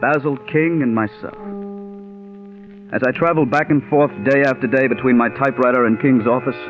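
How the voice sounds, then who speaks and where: Actor as brooding writer, music, old radio. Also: male voice, mid-range voice, steady tone, brisk, natural voice. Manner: brooding, intense, dramatic. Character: bitter, stubborn, overworked male radio scriptwriter. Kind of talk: 1940s radio acting with first-person narration. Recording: dull 1940s radio recording.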